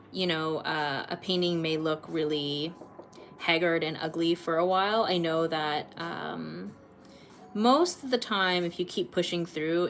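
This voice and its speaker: A woman in her late twenties from Canada, chatting casually with viewers while painting on a livestream, rambling a little and filling pauses with ums. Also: fairly low pitch, slight background noise. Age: late 20s. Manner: chatty, rambling, laughs often, many ums. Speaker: art livestream host.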